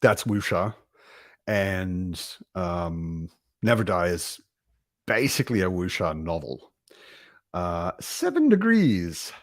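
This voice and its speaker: Slow, low-voiced man chatting. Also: no echo, studio quality. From Australia. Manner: rambling and relaxed, with many ums and restarts. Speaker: book livestream host. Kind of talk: casual livestream chat reading out viewer comments. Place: home, in Berlin.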